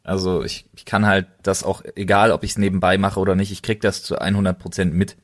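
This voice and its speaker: A man's voice: deep voice, little pitch movement, fairly quick. A young man co-hosting a casual podcast, speaking in slang and repeating words for emphasis. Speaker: podcast co-host.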